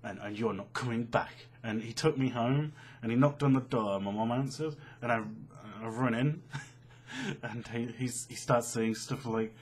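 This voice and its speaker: A young British man with a slightly husky voice, telling a personal story casually in an animated, sweary, self-mocking way. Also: mid-range voice, unhurried. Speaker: online storyteller.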